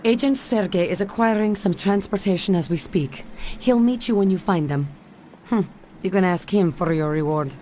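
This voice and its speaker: A woman's voice: medium pitch, normal speed, dark tone, slight background noise, close to an everyday voice. A woman voicing a capable Russian secret agent in a video game, with a Russian accent and a composed, teasing manner. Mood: briefing, then sly, teasing. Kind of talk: video game cutscene acting.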